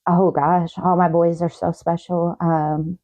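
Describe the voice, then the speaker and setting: Middle-aged woman, dark soft-edged tone, deep register, reflective, hesitant, earnest, conversational guest. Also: flat delivery, unhurried, poor audio. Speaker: talk show guest. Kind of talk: personal, conversational interview answers.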